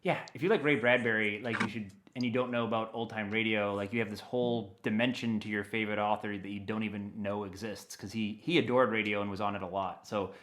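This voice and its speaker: An American man talking casually and enthusiastically as an interview guest, animated and given to rambling asides. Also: fairly low pitch, fast, hardly any pauses. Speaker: interview guest, audio dramatist.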